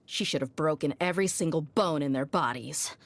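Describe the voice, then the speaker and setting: A woman's voice: sits low, fairly quick, no room sound. A woman voicing a tough, easygoing young desert mercenary in a video game, speaking in a casual, confident, wry and plain-spoken way. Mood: scornful, blunt. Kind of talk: scripted game character voice lines.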